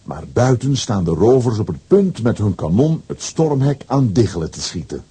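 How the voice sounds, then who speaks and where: A man narrating a children's audio adventure story in a dramatic, scripted way with a dark, husky voice. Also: mid-range voice, big pitch swings, normal speed.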